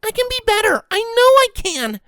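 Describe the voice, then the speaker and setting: A woman's voice: very high-pitched, sing-song, moderate pace, a bit bright, dry recording, exaggerated voice. A woman voicing a distraught young pony filly, pleading and emotional, in a dramatic audio story. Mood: pleading, eager.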